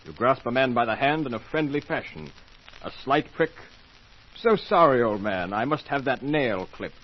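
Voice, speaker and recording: Casting: authoritative, pointed detective in vintage radio drama, medium register, formal, lo-fi sound. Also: male voice, mid-range voice, expressive, moderate pace, slightly rough. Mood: accusing. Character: shrewd, authoritative police inspector, methodical accuser. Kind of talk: old-time radio mystery acting. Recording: muffled, lo-fi old radio recording with hiss.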